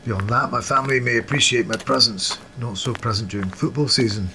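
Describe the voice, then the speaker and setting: An actor playing a genteel, proud yet modest Scottish football coach in a period audio drama, speaking with formal old-fashioned courtesy. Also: male voice, medium pitch, a little fast, background noise, natural voice. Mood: earnest, modest.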